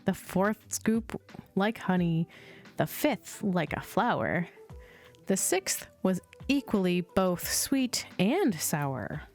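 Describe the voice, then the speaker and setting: Unhurried woman reading bedtime stories over music. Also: fairly low pitch, very animated, darkish tone. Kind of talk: storybook narration for children. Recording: music playing behind the voice. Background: chill music.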